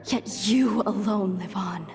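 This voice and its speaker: A woman's voice: mid-range voice, takes it slowly, gravelly, a little mellow. A woman voicing a wistful, haunted young woman in a video game. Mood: bitter, reproachful. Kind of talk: video game character acting. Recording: reverberant, echoing effect.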